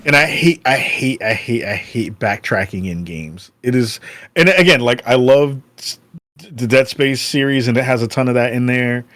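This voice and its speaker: A man in his forties hosting a casual podcast chat, easygoing and quick to laugh. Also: medium pitch, fairly bright tone, dry recording. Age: 40s. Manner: laid-back, quick to laugh. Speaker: podcast host.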